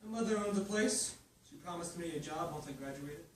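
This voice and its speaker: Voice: medium pitch, brisk, darkish tone, close to an everyday voice. An actor playing a young, evasive culinary graduate in dialogue at a screenplay table read. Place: live staged reading in a room. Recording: roomy echo, distant mic, faint noise.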